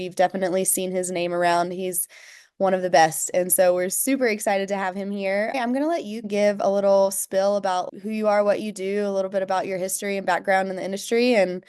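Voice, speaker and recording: A woman hosting a relaxed interview, her voice smooth and clear at a middle pitch. She is upbeat and enthusiastic, chiming in often with quick affirmations. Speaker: interview host. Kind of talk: casual interview chat.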